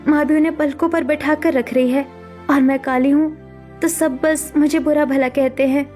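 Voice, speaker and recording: A woman's voice: high-pitched, steady tone, moderate pace, a little mellow, faint music behind, lightly acted. A woman voicing a hurt, self-doubting young sister-in-law in a dramatic cartoon story, with a plaintive, defensive manner. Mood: sad, self-pitying.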